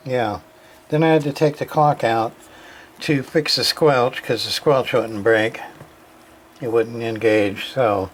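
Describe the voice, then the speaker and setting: A man giving a casual, halting walkthrough of a radio repair, full of fillers and restarts. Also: medium pitch.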